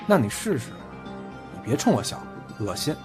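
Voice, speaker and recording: A man's voice: medium pitch, sing-song, moderate pace, close to an everyday voice. A man playing a defiant, blunt, proud young man in an audio drama, with curt delivery. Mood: curt, hostile. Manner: curt, scornful retorts. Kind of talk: Chinese audio drama acting. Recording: music behind the voice.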